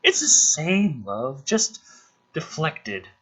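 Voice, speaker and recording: A thoughtful, gently frank woman read by a man from a film script. The voice is cautious and soft-spoken, with a lively, varied pitch and a slight husk. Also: very slow, husky, exaggerated voice. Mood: gentle, cautious.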